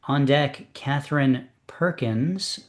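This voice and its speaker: A man's voice: mid-range voice, takes it slowly. A man hosting an online poetry reading over a video call, chatting casually with many fillers and hesitations. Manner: hesitant, lots of fillers, friendly. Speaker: host of open poetry reading.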